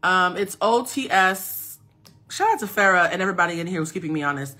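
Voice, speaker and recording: Woman in her 40s on a phone live stream, kinda low voice, preachy and emphatic, reads comments out. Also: animated, brisk, pushed and bright. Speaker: host of a live stream and self-mastery coach. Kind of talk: casual live-stream talk to viewers.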